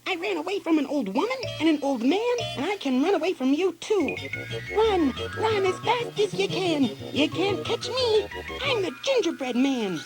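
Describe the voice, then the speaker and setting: A little gingerbread boy from a children's storybook , acted by a woman, pitched high and full of bouncy swings. He is cheeky and naive, with a sing-song taunting delivery. Music plays loudly behind him. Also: sing-song, moderate pace, heavily acted. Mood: cheeky, defiant. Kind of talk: children's storybook audio acting.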